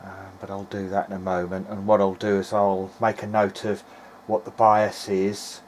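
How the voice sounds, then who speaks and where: Man, lowish voice, hobbyist repairer, informal commentary, hesitant, thinking aloud, faint background noise. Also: monotone, normal speed, a little mellow. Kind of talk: informal video diary narration while testing. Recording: clearly noisy amateur recording.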